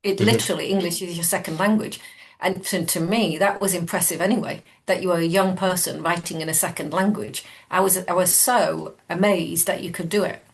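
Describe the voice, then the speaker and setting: A woman's voice: fairly low pitch, steady tone, fairly quick, creaky. A woman co-hosting a casual chat, encouraging and repeating words as she talks. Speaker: co-host. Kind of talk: casual conversation.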